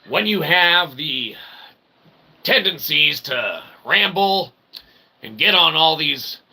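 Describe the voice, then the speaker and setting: Higher-pitched, gravelly rambling man. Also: pushed and bright. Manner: self-deprecating, folksy, repeats tag questions like okay and right. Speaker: host of a web show. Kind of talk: rambling casual monologue to the audience.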